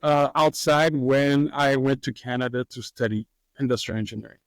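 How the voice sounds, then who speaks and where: A man, a master distiller answering interview questions in a relaxed, hesitant way with many fillers and easy laughs. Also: medium pitch, normal speed, dry recording. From Haiti.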